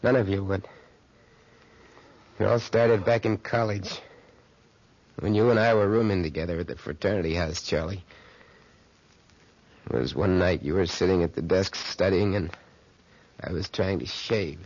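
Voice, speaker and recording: A troubled, wounded man, bitter and bewildered. His low-pitched voice is gravelly and strained. It is 1940s radio drama, dry and somewhat muffled. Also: moderate pace, pauses often, darkish tone, no room sound. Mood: resigned recollection.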